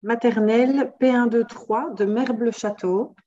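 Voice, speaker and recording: A woman's voice: on the high side, fairly even intonation, a little slow, mellow, lo-fi sound. A woman, co-hosting an online webinar and casually reading out comments from the chat. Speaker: co-host relaying the chat.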